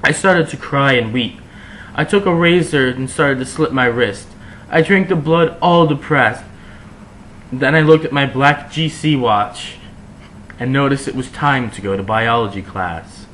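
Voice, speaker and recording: Bright-voiced man giving a theatrical dramatic reading. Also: medium pitch, unhurried, a little hiss or hum, poor audio. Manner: theatrical, exaggerated, sardonic asides. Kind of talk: mock-serious dramatic reading of fan fiction.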